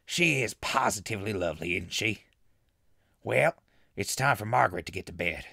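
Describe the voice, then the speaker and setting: Folksy wasteland hermit, played by a man, swooping pitch. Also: expressive, moderate pace, gravelly, bright, forceful tone, husky, no room sound, clean studio sound, full character voice. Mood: friendly, hospitable. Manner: drawling backwoods talk, chummy. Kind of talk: comic post-apocalyptic audio drama acting.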